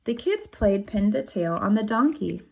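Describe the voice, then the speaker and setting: Creaky-voiced woman reading a storybook to children. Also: mid-range voice, very animated, normal speed, a little mellow. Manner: explains to young children, asks them questions. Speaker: kindergarten teacher reading a picture book aloud. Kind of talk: animated storybook read-aloud with asides to children.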